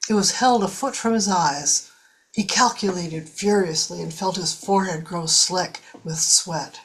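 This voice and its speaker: A woman's voice: on the low side, measured pace, slightly rough, rough recording. A woman reading scripted narration for a radio drama as its narrator.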